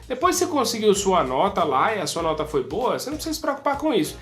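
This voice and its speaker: A man's voice: high-pitched, very animated, fast, fairly bright tone. A man with a Brazilian accent teaching an exam prep lesson in an informal, emphatic, conversational way, speaking straight to his students. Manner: conversational, emphatic, addresses students directly, acts out their thoughts. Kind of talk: informal explanatory video lesson.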